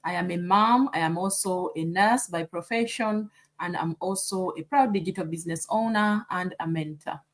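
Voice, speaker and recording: A woman's voice: fairly low pitch, normal speed, hardly any pauses. A woman from Uganda, a nurse and mentor, chatting as a guest and thinking aloud with friendly fillers. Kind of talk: casual chat.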